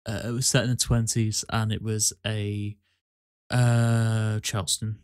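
A man's voice: on the low side, flat delivery, measured pace, clean tone, no echo, studio quality. A man chatting casually as co-host of a podcast. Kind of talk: casual chat.

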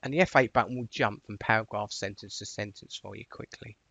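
A man presenting an informal software tutorial, explaining things step by step in a voice-over. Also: lowish voice, brisk, gravelly, breathy edge, no echo.

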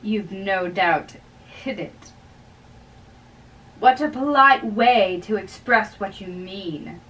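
Young woman, amateur play reader, slow and halting, bright forceful voice, picked up from across a bedroom. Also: mid-range voice, lo-fi sound. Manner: halting, stumbles over words. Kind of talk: dramatic reading of a play in modern English. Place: small bedroom, indoors. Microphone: far camera. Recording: distant microphone in a room.

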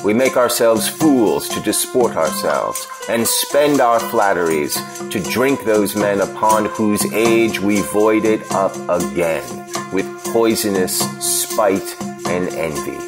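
A man performs a bitter cynic sneering at vanity in a Shakespeare audio play. He declaims slowly and sardonically, with music playing clearly behind him. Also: fairly low pitch, expressive. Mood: bitter scorn. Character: bitter, scornful male cynic railing at vanity.

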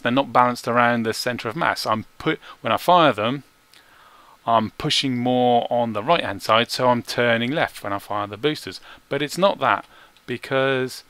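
A man narrates gameplay at a mid-range pitch, explaining things step by step. His delivery is measured and self-deprecating, and the close microphone gives a dry sound with very little room in it. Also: sharp tone, no echo. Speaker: gaming video creator. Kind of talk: video game commentary over gameplay.

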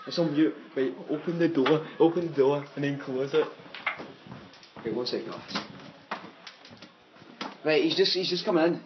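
A man's voice: fairly high pitch, moderate pace, long pauses, highs cut off, over music. A young man making a casual prank call, talking in a taunting, repetitive, slangy way on a device microphone. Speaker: prank caller.

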